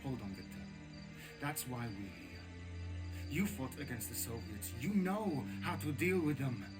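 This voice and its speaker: An actor playing an earnest, desperate resistance fighter pleading for help, in dramatic video game style. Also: male voice, mid-range voice, expressive, unhurried, natural voice. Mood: earnest, urgent. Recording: music behind the voice.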